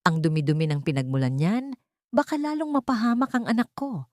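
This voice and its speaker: A woman's voice: medium pitch, fairly quick, darkish tone, no room sound, studio quality, voice pushed up, natural voice. A woman with a Filipino accent voicing a haughty, deceitful rich wife in an audiobook drama, indignant and imperious. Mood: scornful anger.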